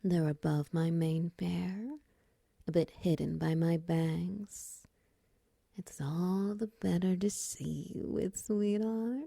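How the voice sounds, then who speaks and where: Woman as a breathy, mellow, flirty spider woman. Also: on the low side, fairly even intonation, no echo, clean studio sound, heavily acted. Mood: seductive, intimate. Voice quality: breathy, husky, often near whisper. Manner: slow, coaxing, teasing endearments. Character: flirty, doting drider spider woman, seductive caretaker. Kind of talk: intimate ASMR-style romance audio roleplay. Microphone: close mic, dry.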